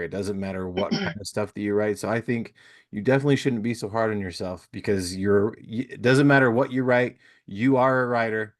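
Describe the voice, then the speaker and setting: Male podcast host, lowish voice, dry close sound, easygoing and supportive. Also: dry recording. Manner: friendly, encouraging, laughs easily. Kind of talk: casual interview chat.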